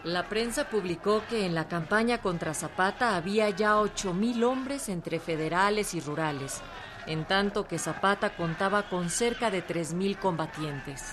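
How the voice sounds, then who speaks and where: A woman's voice: mid-range voice, measured pace, noisy background. A woman narrating history from a script.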